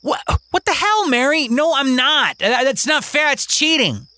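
A man's voice: very high voice, very animated, a little slow, sharp tone, no echo, pitched above the performer's own voice, full character voice. A man voicing a hot-tempered young boy who whines and protests. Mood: indignant.

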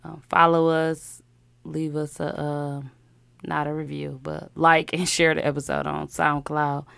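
A woman's voice: sits low, a little slow, creaky, clean tone, no room sound. A woman hosting a casual podcast, chatting loosely with frequent fillers and laughter. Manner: relaxed, laughing, trailing off with fillers. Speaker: podcast host.

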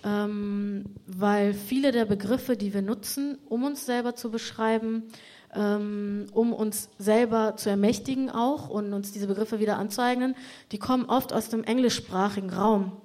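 A woman's voice: medium pitch, steady tone, a little slow, a little mellow. Engaged woman host leading a chat. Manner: engaged, asks follow-up questions. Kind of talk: conversation host.

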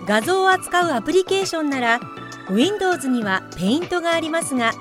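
A woman reading scripted explanatory narration in a polite, measured, instructive way. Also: high voice, sing-song, music in the background.

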